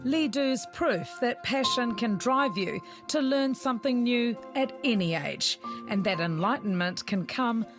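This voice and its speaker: A woman reporter reading a scripted news voice-over with an even, clear delivery. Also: fairly high pitch, a little slow. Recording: music bed under voice-over.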